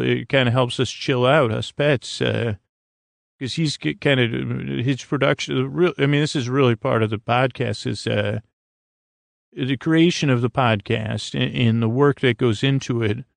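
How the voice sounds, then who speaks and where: A man with a creaky voice hosting a sleep podcast, talking in a soothing, hesitant, meandering bedtime monologue full of stammered tangents. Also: medium pitch, no echo. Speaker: podcast host.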